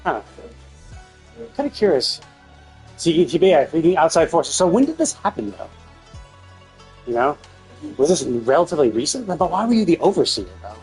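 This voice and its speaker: A man with a slightly husky voice streaming casual game commentary, thinking aloud in a questioning, rambling way. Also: a little high, very animated, moderate pace, long pauses, darkish tone, faint music behind, poor audio. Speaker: live streamer.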